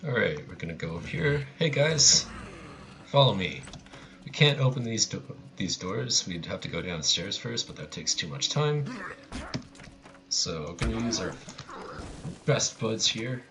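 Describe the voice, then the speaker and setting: A man's voice: on the low side, sing-song, unhurried, fairly bright tone, faint music behind. A man giving casual, offhand live commentary as he speedruns a video game, with a wry touch.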